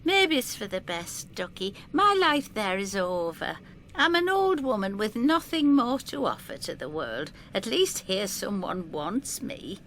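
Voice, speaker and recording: A woman's voice: medium pitch, big pitch swings, unhurried, fairly bright tone, a little hiss or hum, pitched above the performer's own voice. A woman voicing a wistful, dignified old woman in an audio drama, speaking in a plaintive, precise way. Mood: resigned.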